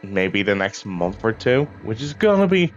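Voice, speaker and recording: A man, slightly hoarse from a cough, chatting casually and rambling with lots of ums as he plays a game. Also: lowish voice, sing-song, normal speed, dull audio, faint music behind. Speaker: gaming YouTuber. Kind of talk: casual chat over gameplay.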